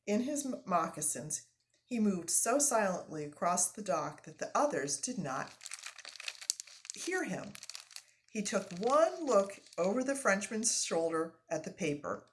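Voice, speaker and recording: Woman, children's story reader, slow pace, animated intonation, expressive, casual explanatory asides. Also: medium pitch, a little hiss or hum. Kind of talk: live storybook read-aloud with casual asides.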